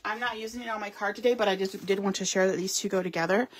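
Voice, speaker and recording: A woman's voice: mid-range voice, fairly even intonation, rapid-fire. A woman giving a live card-making demonstration, chatting and explaining as she works, with frequent ums. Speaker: craft store demonstrator. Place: craft table at home or in a studio.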